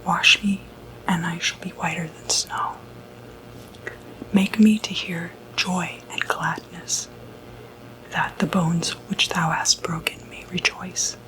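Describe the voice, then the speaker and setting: ASMR roleplay: woman plays a gentle exorcist doctor, whispered, very slow, soothing. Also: on the low side, fairly even intonation, rough recording. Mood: solemn, prayerful. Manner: hushed, unhurried, hesitant, soothing. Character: gentle, reassuring doctor who treats demonic possession.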